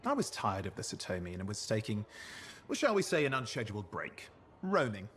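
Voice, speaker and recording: An actor playing a playful, cheeky young freelance partner in a video game, with a jokey, teasing manner. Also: male voice, on the low side, huge pitch swings, fairly quick, fairly bright tone, slight background noise. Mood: amused, cheeky.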